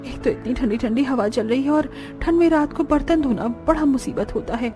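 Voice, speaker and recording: A woman's voice: high voice, fairly quick, warm tone, soft background music, close to an everyday voice. A woman voicing a young, overworked village daughter-in-law in a cartoon, grumbling and exasperated. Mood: weary. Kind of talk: Hindi cartoon story dialogue.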